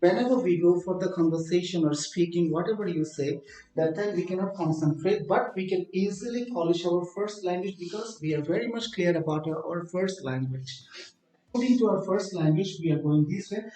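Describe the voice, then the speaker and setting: A man with a Bangladeshi accent, a native Bengali speaker, teaching an English grammar lesson slowly and often asking whether students follow. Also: sits high, mellow, heavy reverb, far from the mic, poor audio. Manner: slow, explanatory, asks checking questions.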